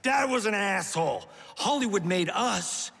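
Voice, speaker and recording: An American actor playing a wry, middle-aged Hollywood fighter in a video game cutscene. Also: male voice, high voice, animated, a little slow, gravelly, heavily acted. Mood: chiding. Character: middle-aged Hollywood action star, mellowed but wisecracking fighter. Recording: noisy, reverberant game scene.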